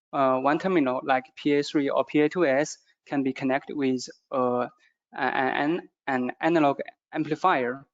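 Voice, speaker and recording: A man with a non-native English accent gives a product training presentation, speaking haltingly and repeating phrases as he goes. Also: on the high side. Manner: halting, repetitive phrasing with stumbles. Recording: dull, muffled lo-fi audio.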